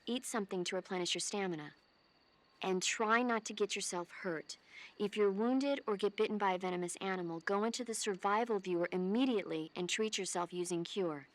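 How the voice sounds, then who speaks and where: Lady doing a game army medic, medium pitch, caring, nags a bit, playful but earnest. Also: fairly quick, natural voice. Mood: concerned scolding. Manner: earnest, gently scolding, playful. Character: caring, teasing female military medic on radio support. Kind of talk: video game voice acting.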